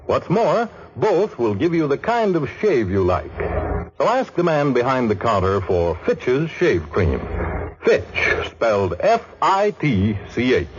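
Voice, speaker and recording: A man announcing on 1940s radio, reading an advertisement in a polished salesmanlike manner. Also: fairly high pitch. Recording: muffled, faintly noisy 1940s radio broadcast.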